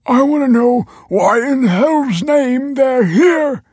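A man voicing an agitated, paranoid old father in a dramatic audiobook story, with a strained, husky voice and desperate, indignant delivery. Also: extremely high pitch, expressive, takes it slowly, a little mellow, no room sound, studio quality, pitched above the performer's own voice, full character voice. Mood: indignant, angry.

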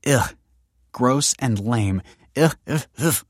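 A man voicing a feisty young woman in a narrated story, brisk, sardonic and emphatic. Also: medium pitch, lively intonation, dry recording, clean studio sound, pitched above the performer's own voice, lightly acted. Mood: teasing, incredulous. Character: sharp-tongued, teasing, feisty young woman.

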